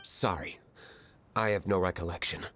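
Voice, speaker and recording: A man voicing a stern, guarded young tavern owner in a video game cutscene, cool and deadpan. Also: deep voice, moderate pace, a little hiss or hum. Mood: cold, dismissive.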